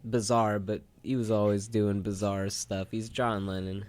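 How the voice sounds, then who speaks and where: A man's voice: lowish voice, little pitch movement, a little slow, darkish tone, no echo. A man co-hosting a radio show, chatting casually in a rambling storytelling way. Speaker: co-host of a retrospective radio show. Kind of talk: casual chat.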